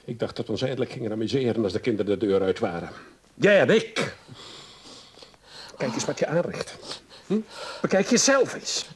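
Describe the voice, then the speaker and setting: A man's voice: medium pitch, big pitch swings, moderate pace, pauses often, natural voice. An actor in a radio play playing a domineering middle-aged husband who scolds his wife in a stern, condescending, exasperated way.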